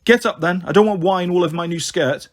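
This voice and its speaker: A man's voice: high voice, fast talker, lightly acted. A man voicing a sardonic teenage girl in an audiobook, dry and teasing but caring.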